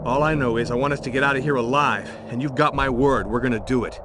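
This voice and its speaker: An actor playing a wry, terse and determined investigator hero in a video game. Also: male voice, mid-range voice, fairly even intonation, fast, background noise, natural voice.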